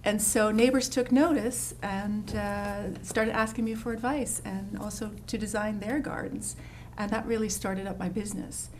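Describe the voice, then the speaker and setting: A woman's voice: mid-range voice, steady tone, moderate pace, slight background noise. Dutch-born woman chatting about gardening. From Netherlands. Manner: warm, enthusiastic, many fillers and restarts. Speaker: landscape designer guest. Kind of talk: casual interview chat.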